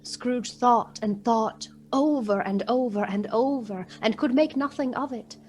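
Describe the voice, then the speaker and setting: A woman plays the narrator of a radio ghost story in a slightly high voice. Her telling is measured, dramatic and a little wry. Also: darkish tone, a little hiss or hum, natural voice.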